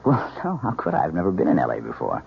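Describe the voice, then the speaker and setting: An actor playing a breezy, affectionate young newlywed husband in an old-time radio mystery, teasing and casual with a slight stammer. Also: male voice, extremely low pitch, wildly swooping pitch, fast talker, gravelly, heavily acted. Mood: easygoing. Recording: dull old radio recording, faint hiss.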